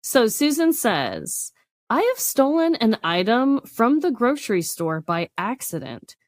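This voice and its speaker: A woman's voice: on the high side, big pitch swings, a little slow, dry recording, clean studio sound. A woman co-hosting a comedic podcast, chatting casually in a giggly, playfully teasing way. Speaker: podcast co-host.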